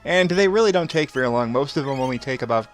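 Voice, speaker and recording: A man's voice: medium pitch, expressive, quick pace. Chatty thirty-year-old man over game music. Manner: chuckles often between remarks. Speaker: gameplay video host. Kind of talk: casual let's play commentary.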